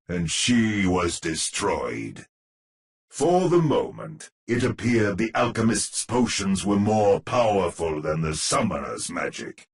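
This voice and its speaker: A grave storyteller reading a dark storybook tale for a game. The voice is fairly low and deliberate, with dramatic rises and falls. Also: male voice, heavily acted. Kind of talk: scripted storybook narration.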